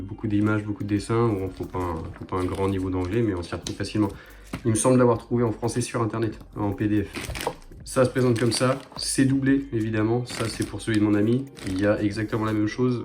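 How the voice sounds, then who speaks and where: A man's voice: fairly low pitch, background noise. A man talks informally as a motorcycle vlogger, explaining a product step by step in a hands-on review.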